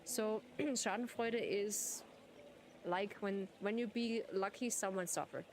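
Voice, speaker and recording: Giggly woman chatting slowly. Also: medium pitch, a little mellow, a little hiss or hum. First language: German. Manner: giggly, laughs often. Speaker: streamer. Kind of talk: casual chat with viewers. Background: game music.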